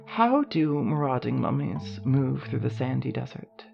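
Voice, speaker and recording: An actress playing a proud, teasing woman poison master who delivers lines with deliberate, droll smugness in a fantasy audio drama. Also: very deep voice, very animated, gravelly, dull audio, faint music behind, heavily acted. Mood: smug, droll.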